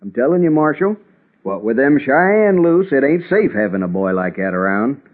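Raspy, stubborn frontier townsman. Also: mid-range voice, very animated, moderate pace. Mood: grumbling, agitated. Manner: grumbling, insistent. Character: suspicious, bigoted frontier townsman, stubborn and agitated. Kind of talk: 1950s radio western acting. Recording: tinny 1950s radio recording.

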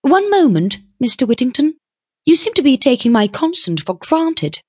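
Woman voicing a pert, higher-pitched young woman. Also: big pitch swings, normal speed, darkish tone, husky, no room sound, studio quality, exaggerated voice. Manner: pert, direct, self-possessed. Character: small, plucky, sharp-witted young woman seeking work. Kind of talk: audiobook character voice.

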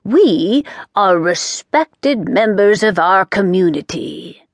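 A woman's voice: mid-range voice, animated, takes it slowly, no echo, studio quality. A woman voicing a proud chief witch who speaks haughtily and with strained grace. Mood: haughty. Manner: haughty, stiffly gracious.

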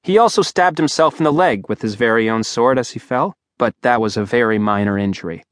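A man's voice: medium pitch, brisk, no echo. Wry male audiobook narrator. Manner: dry, wry storytelling. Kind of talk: scripted narration. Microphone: studio microphone.

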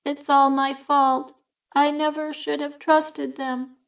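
A woman voicing the ghost of a young woman who speaks flat and unemotional, spoken through a psychic in an audiobook. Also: sits high, slow pace, distant mic, voice pushed up. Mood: cold.

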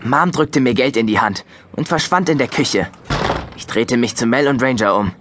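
A man's voice: medium pitch, normal speed, a bit bright, slight background noise, lightly acted. A man playing a wry boy who narrates his own story in scripted first person.

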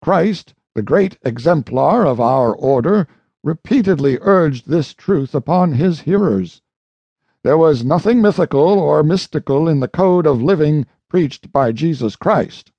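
A man with a dark, mellow voice reads aloud as an audiobook narrator. His delivery is formal and measured, like solemn oratory from a prepared script. The recording is a little dull, with audible room echo. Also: fairly high pitch, animated. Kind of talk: formal scripted reading of a historical speech, oratorical.

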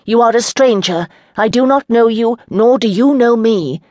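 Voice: mid-range voice, normal speed, no echo, voice pushed up, natural voice. A reader voicing a proud young noblewoman who answers with scorn and defiance. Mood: defiant. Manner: scornful, raised and forceful.

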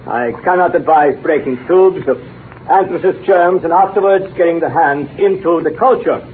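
An actor playing an exacting older male science professor in 1930s radio drama, speaking in deliberate, didactic pronouncements on an old noisy recording. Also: fairly high pitch, narrow, tinny audio, close to an everyday voice. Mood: dry, lecturing. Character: exacting older male bacteriology professor, blunt, devoted to research.

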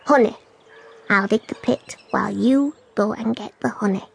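A woman voicing a small, earnest, bossy little pig in a dramatised children's story, with a squeaky, creaky voice. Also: normal speed. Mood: eager, planning.

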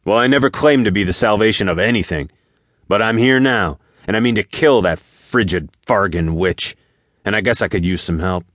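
A man's voice: fairly low pitch, normal speed, fairly bright tone, no echo, clean studio sound, lightly acted. A man voicing an earnest, plain-spoken, determined hero in a fantasy audiobook, with informal phrasing. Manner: earnest, informal, hesitant at times. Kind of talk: audiobook character voice.

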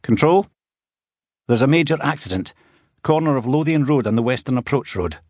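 A man's voice: medium pitch, animated, normal speed, darkish tone, dry recording, clean studio sound, pitched above the performer's own voice, natural voice. A man voicing a police detective inspector in an audiobook, urgent and commanding, with clipped official speech. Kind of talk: audiobook character dialogue.

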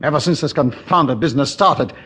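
An actor playing an irritable, pompous senior British police chief in a brusque, impatient manner, in 1940s radio drama style. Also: male voice, on the high side, fairly quick, a bit bright, slightly rough. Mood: irritated.

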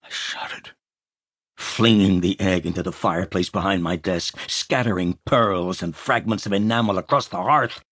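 A man narrating literary fiction as an expressive audiobook reader. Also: low-pitched, moderate pace, slightly rough, dry recording, studio quality.

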